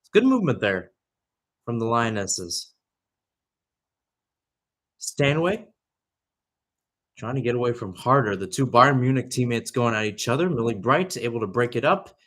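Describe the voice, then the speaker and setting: A man's voice: medium pitch, big pitch swings, moderate pace, long pauses, a bit bright. A young man doing live radio-style soccer play-by-play, with conversational analysis that wanders and corrects itself. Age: young adult.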